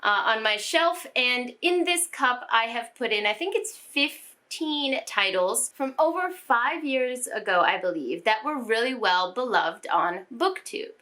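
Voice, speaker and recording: Young woman, book vlogger, chatty, bright voice, fairly high. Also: lively intonation, measured pace, hardly any pauses. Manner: chatty, laughs often, lots of "like" and "you know". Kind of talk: casual book review chat. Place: home room with bookshelves.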